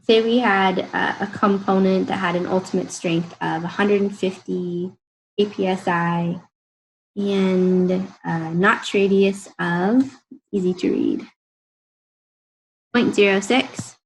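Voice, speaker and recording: A woman in her thirties, an engineering instructor, explains a recorded lecture step by step in a relaxed, informal way, her voice tired and croaky. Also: mid-range voice, slow, a little hiss or hum, poor audio. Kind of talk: recorded lecture over slides.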